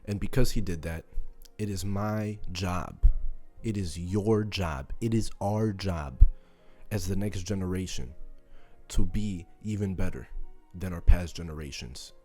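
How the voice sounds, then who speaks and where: Deep-voiced young man giving a motivational talk. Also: measured pace, a little mellow, soft background music. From born in Serbia, moved to the US. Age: late teens. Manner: emphatic, repetitive rhetorical questioning. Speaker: motivational content creator. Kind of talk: motivational speech.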